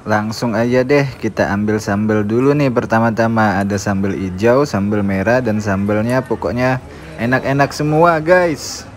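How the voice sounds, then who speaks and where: A man's voice: fairly low pitch, moderate pace, slight background noise. A man narrating a food tour, cheerfully listing the dishes on offer. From Indonesia. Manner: cheerful, lists dishes to viewers.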